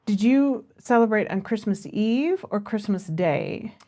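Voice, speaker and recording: A woman's voice: medium pitch, expressive, unhurried, warm tone. American woman chatting casually. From Minnesota, United States. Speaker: podcast co-host. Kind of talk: casual conversational storytelling.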